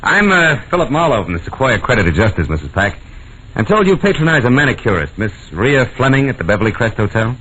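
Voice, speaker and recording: An actor with an American accent playing a tough, wry private detective in clipped 1940s radio noir style. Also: male voice, mid-range voice, very animated, fast, bright, forceful tone, a little hiss or hum. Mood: smooth, polite. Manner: clipped, hard-boiled, wry. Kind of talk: 1940s radio acting, first-person noir narration. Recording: dull old radio broadcast, music behind.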